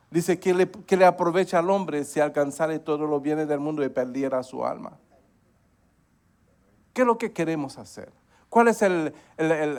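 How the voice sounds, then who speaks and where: A man's voice: a little high, a little mellow. Preaching man with frequent amens. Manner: preaching with frequent amens, rising emphasis and sudden drops. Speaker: pastor. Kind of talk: sermon.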